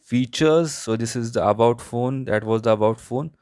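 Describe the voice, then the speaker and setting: Male tech reviewer, Indian accent, smooth clear voice, close dry sound, explanatory walkthrough delivery. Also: medium pitch, normal speed, a little mellow, no echo. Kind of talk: product review narration, unscripted walkthrough.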